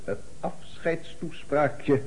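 An actor playing a shrewd, insistent police commissioner in a Dutch radio drama, questioning theatrically. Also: male voice, on the high side, fairly even intonation, slow pace, a little mellow. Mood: grave, triumphant.

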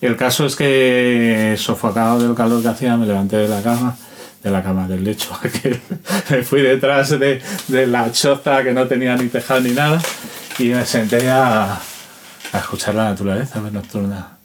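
A man's voice: medium pitch, fairly even intonation, normal speed, a little hiss or hum. A man talking informally, explaining and telling anecdotes like an interviewed musician. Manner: informal, demonstrates rhythms with spoken syllables. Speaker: interviewed musician and instrument collector. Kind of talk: casual explanatory talk with anecdotes.

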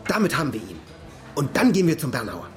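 Ein Schauspieler spielt in einem Krimi-Hörspiel einen eifrigen, drängenden Hobbyermittler. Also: male voice, mid-range voice, wildly swooping pitch, fast, soft background music. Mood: eager, plotting. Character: eager, scheming amateur sleuth, a man. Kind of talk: radio crime drama dialogue.

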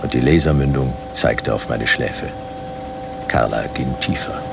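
Very deep, gravelly detective narrator. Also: male voice, unhurried, very noisy, full character voice. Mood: grim, dry. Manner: deadpan, clipped, noir-like. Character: laconic male private detective narrating his adventure. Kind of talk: dramatic radio play narration.

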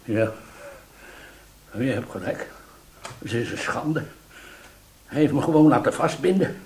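An actor playing an embittered, hot-tempered rebel in a radio drama, volatile and emphatic. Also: male voice, mid-range voice, animated, measured pace, a little hiss or hum. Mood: resentful. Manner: volatile, emphatic, swinging from shouts to mutters. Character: embittered rebel man, locked-up accuser, hot-tempered, easily bought. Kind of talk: Dutch radio drama acting.

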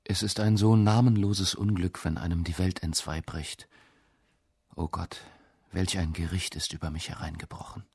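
Despairing young poet in a radio piece: low, husky, intense and pleading, recorded dry. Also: male voice, steady tone, normal speed, darkish tone, no room sound, full character voice. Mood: desperate. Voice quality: gravelly, husky. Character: despairing young poet reading his anguished letter. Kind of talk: dramatic literary reading in a radio essay.

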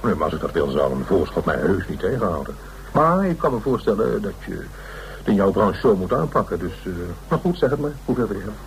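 A polite male client in a radio drama. His voice is on the low side, and he speaks haltingly, feeling his way with a conciliatory manner. There is faint noise on the recording. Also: sing-song. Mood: hesitant, conciliatory. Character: hesitant, polite male client hiring an investigator. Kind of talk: Dutch radio play acting. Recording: noisy radio play recording.

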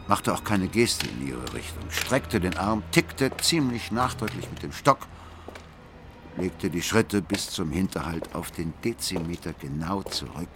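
An actor playing a brooding, obsessive husband who narrates a radio drama with cold, deliberate, intense precision. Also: male voice, deep voice. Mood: cold, intent. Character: brooding, obsessive husband coldly recounting his grim plan. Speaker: first-person narrator of an audio drama. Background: music.

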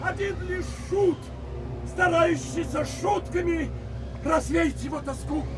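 An actor playing an agitated courtier in a theatrical tragedy, declaiming his report in a shouted voice. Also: very high-pitched, slow pace. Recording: distant from mic, music underneath.

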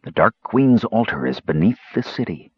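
A man voicing a calm, stately male cat lord who speaks in slow, grave, measured tones. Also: fairly low pitch, slightly rough, no room sound, heavily acted. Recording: dull lo-fi dry recording.

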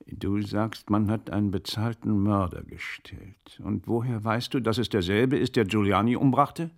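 Ein Schauspieler spielt in einem deutschen Hörspiel einen skeptischen, ungeduldigen Herrn, der als Ermittler spöttisch und bohrend nachfragt. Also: male voice, on the low side, steady tone, moderate pace, natural voice. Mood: probing. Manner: sardonic, probing, impatient. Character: sardonic, impatient gentleman investigator, skeptical and commanding. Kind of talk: German radio play acting.

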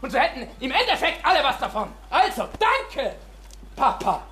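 An actor playing a bitter, defiant young village man, speaking with sarcastic, halting emphasis in a radio drama. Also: extremely high pitch, wildly swooping pitch, pushed and bright, a little hiss or hum, heavily acted.

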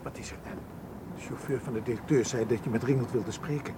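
A man's voice: medium pitch, a little fast, close to an everyday voice. A man acting in a radio drama as a cautious, uneasy and reluctant companion. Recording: noisy radio play with vehicle ambience.

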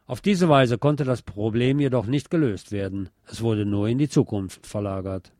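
A man's voice: lowish voice, normal speed, very noisy. A man hosting a radio programme in speech, reading scripted historical narration aloud. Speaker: radio host.

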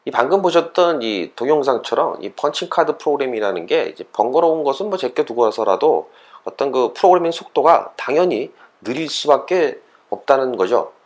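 Man explaining, with lively pitch swings. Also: a little fast. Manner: conversational explaining with rhetorical questions. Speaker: host of an educational talk series for parents and children. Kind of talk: explanatory lecture-style talk, semi-scripted.

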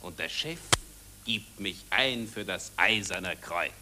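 An actor playing a callous, vain press photographer in a rhymed 1940s German radio satire, theatrical and glib. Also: male voice, low voice, lively intonation, slow, sharp tone, exaggerated voice. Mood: glib, self-important. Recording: noisy old 1940s radio recording.

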